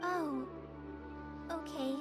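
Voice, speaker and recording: A woman's voice: high-pitched, steady tone, drawn-out words. A woman playing an earnest, modest teenage girl apprentice in a video game, polite and a little hesitant. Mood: deflated. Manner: polite, eager, slightly hesitant. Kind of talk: English video game voice acting. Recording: music behind the voice.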